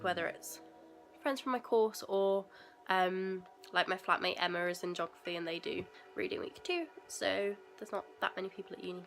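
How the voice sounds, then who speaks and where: A young woman from England, a university student, chatting casually in a rambling way with a stuffy nose from a cold, at home. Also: mid-range voice. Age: young adult. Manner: chatty and rambling, many fillers and asides. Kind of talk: casual vlog chat. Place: at home indoors.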